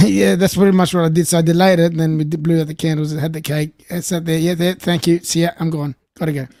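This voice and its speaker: Australian man in his fifties, high voice, dry close sound, blunt and sweary. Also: level tone, fast talker, warm tone, no room sound. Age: 50s. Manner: blunt, sweary, self-mocking. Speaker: host. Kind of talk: casual group chat.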